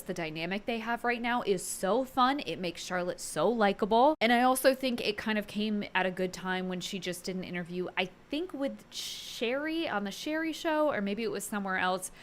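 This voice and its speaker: A woman giving casual, enthusiastic opinion commentary as a video host, chatty and informal with emphatic delivery. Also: medium pitch.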